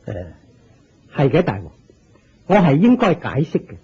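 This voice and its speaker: An actor with a Hong Kong Cantonese accent playing an earnest, reverent elderly religious master turned disciple in a Buddhist radio drama, speaking in a solemn, deliberate, declamatory way. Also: male voice, on the high side, wildly swooping pitch, a little mellow, husky, heavily acted. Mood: solemn, explaining. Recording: dull lo-fi radio drama recording.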